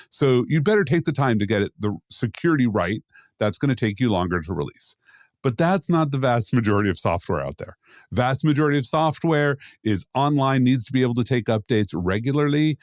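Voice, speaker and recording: A man's voice: mid-range voice, a little mellow, no room sound. Male podcast co-host, mid-range, emphatic and opinionated explainer, close and dry. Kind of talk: opinionated panel discussion.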